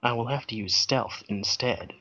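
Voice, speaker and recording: On a slightly muffled, lo-fi recording, a man reads a silly story aloud. He narrates deadpan at a middling pitch, slipping into casual banter. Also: moderate pace, husky. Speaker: co-reader, narrator. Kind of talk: comic fan-fiction reading with casual banter.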